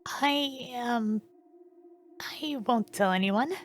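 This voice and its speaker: A woman's voice: a little high, drawn-out words, faint music behind. A woman in a fan dub voicing a young queen who is cautious, curious and well-meaning, speaking hesitantly and questioningly. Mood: puzzled, cautious.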